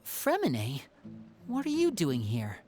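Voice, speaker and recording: A confident, protective young man from a video game. The delivery is smooth, poised and probing, with lively swings in a low register. Music plays clearly underneath. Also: takes it slowly, heavily acted. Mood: friendly.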